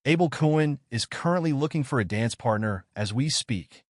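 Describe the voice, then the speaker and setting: A man voicing a confident, encouraging schoolgirl friend with a brisk, reassuring manner in a manga story. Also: medium pitch, animated, no room sound, clean studio sound, voice pushed up, lightly acted.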